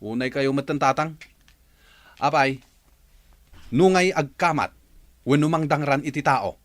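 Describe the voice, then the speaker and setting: A man's voice: on the high side, animated, unhurried, dry recording. A man playing an angry, impatient adult son in a village radio drama, agitated and accusing. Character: angry, impatient adult son, harsh toward his troubled sister.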